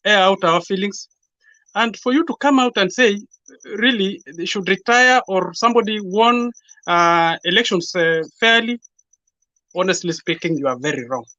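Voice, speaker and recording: Male debate panelist, Kenyan accent, notably high pitch, formal and emphatic, muffled dry recording. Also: moderate pace, sharp tone, dry recording. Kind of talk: political debate.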